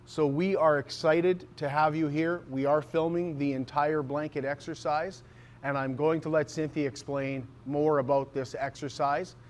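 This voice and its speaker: A man's voice: a little high, steady tone, moderate pace, slight background noise. A man giving earnest, sincere opening remarks and thanks as an event organizer.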